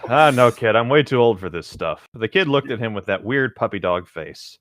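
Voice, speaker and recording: A man's voice: medium pitch, expressive, normal speed, a bit bright, no room sound, studio quality. A man co-hosting a comedy podcast, bantering casually in a joking, playfully contrary way while reading and riffing on a story.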